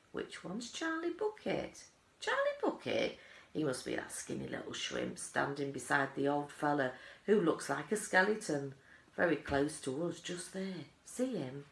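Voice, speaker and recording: A woman voicing excited, gossiping onlookers in a storybook crowd, exclaiming in scandalised tones. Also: deep voice, gravelly, exaggerated voice. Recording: roomy echo.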